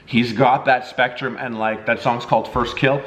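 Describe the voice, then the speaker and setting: A man's voice: mid-range voice, little pitch movement, moderate pace, fairly bright tone. Jokey man vlogging in an echoey room. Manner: jokey, slangy and profane. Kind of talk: casual chat to viewers.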